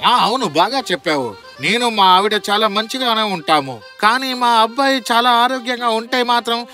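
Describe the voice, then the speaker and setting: Actor as high-voiced humble storybook family man. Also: normal speed, bright, forceful tone, soft background music. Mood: wistful. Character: friendly humble married men of a fairy tale. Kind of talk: dubbed children's fairy-tale acting.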